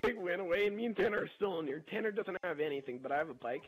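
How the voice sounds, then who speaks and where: Young man, higher-pitched slightly husky voice. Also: racing, rough recording. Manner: animated, slangy, chuckling delivery. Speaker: gameplay video narrator. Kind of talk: casual rambling storytelling over gameplay.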